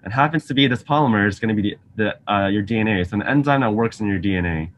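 A man's voice: on the low side, fast talker. Chatty young man teaching over video call. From Singapore. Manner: friendly, chatty, frequent ums, asks students questions. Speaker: graduate student science outreach teacher. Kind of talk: casual online science lesson. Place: lab office with shelves, indoors. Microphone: video call webcam.

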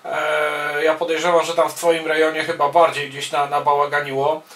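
A man working as an amateur radio operator, speaking casually and haltingly over a radio contact from a small home room. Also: a little high, completely flat delivery, a bit bright. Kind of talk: casual ham radio contact, spoken in turns. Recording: roomy, echoing home-video sound.

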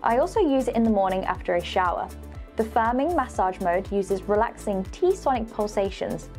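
A young woman presents a house tour in a polished, upbeat way. Her voice sits at an average pitch. Light music plays softly underneath. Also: expressive, moderate pace. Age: young adult. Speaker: presenter of a luxury home tour show. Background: faint music.

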